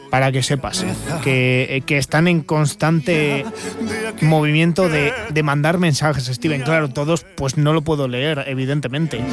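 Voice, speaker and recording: A man with a Spain Spanish accent hosting a radio interview, chatty and full of fillers. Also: a little high. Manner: chatty, friendly, lots of fillers. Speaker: radio host.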